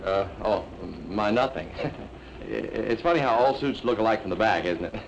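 A man's voice: lowish voice, expressive, moderate pace, lo-fi sound, a little hiss or hum. An actor playing a flustered, fussy older man who stammers nervously while talking his way out of trouble, in a 1950s television comedy.

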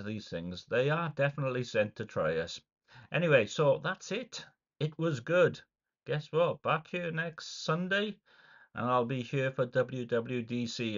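A man's voice: mid-range voice, fairly bright tone, dull audio. Congested middle-aged man rambling wryly. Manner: rambling, wry and self-deprecating, with sighs and fillers. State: congested with a cold. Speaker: vlogger. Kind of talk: casual video diary. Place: dim room indoors.

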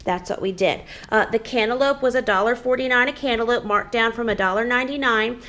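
A woman's voice: a little high, a little fast, fairly bright tone. A woman in her thirties, a home vlogger, chatting casually and rambling with lots of fillers while showing her groceries in her dining room. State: pregnant.

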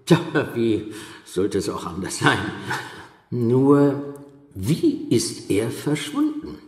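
A man's voice: medium pitch, wildly swooping pitch, slow pace, a little mellow. An actor playing a brusque, agitated male asylum doctor who scoffs and blusters in a German radio play. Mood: agitated, incredulous. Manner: blustery, hesitant hums and short scornful chuckles. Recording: echoey hall with long reverb.

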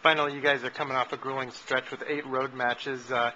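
A man asking a coach postgame interview questions in a quick way, with fillers like uh and kinda. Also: mid-range voice, little pitch movement, pushed and bright. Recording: dull lo-fi with faint noise.

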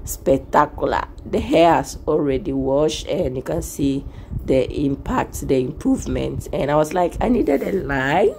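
Hoarse low-voiced woman vlogging by phone. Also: lively intonation, a little slow, warm tone, a little hiss or hum. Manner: chatty, laughs often, acts out friends' lines. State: hoarse, lost voice. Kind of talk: casual vlog chat. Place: hair salon, indoors. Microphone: phone held at arm's length.

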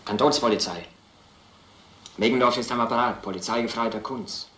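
An actor playing a dutiful police desk assistant with a clipped, official manner in a crime drama. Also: male voice, mid-range voice, fairly quick, sharp tone, close to an everyday voice. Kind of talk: German film crime drama acting. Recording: echoey, noisy old film soundtrack.

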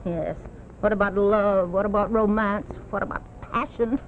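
An actress playing a bitter, self-pitying middle-aged bedridden wife in a theatrical 1950s television melodrama. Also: female voice, mid-range voice, fairly even intonation, slight background noise, natural voice. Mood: bitter, yearning. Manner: theatrical, drawn-out and accusing. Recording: muffled phone-like old TV soundtrack, music.

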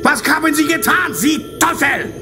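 An actor playing a violent, cornered pimp boss in a horror audio drama, aggressive and blustering. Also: male voice, very high-pitched, animated, moderate pace, music in the background. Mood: furious, panicked.

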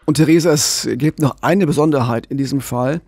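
A man in his forties co-hosting a true-crime podcast, explaining the case in a calm, measured way into a studio microphone. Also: medium pitch, breathy edge, soft background music. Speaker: podcast co-host. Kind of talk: scripted true-crime narration. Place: small radio studio. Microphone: studio condenser mic with pop filter, headphones.

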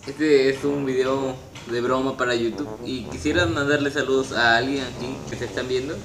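A teenage male, speaking politely and haltingly, as if on a phone call. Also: mid-range voice, fairly even intonation, music in the background. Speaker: YouTuber making a prank call. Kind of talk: improvised prank phone call, posing as a prize agent. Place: small room at home, indoors. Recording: roomy echo.

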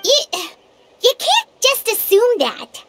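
Squeaky, excitable little fairy girl. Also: slow pace, a little hiss or hum, full character voice. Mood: questioning, wary. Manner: refers to self in third person, exclamatory. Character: tiny chirpy childlike female fairy companion, nosy and excitable. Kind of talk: video game voice acting.

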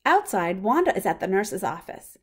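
A woman reading a picture book aloud for young children in a simple, expressive way. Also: mid-range voice, moderate pace, a little hiss or hum. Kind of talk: children's storybook read-aloud.

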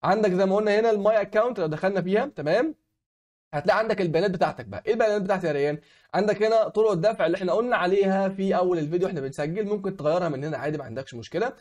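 A young Egyptian man with an Egyptian Arabic accent and a voice hoarse from a cold walks through a website in a screen-recorded tutorial. He keeps checking in with the viewer, asking "okay?". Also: sits high, very fast, a little mellow. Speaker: online tutorial host.

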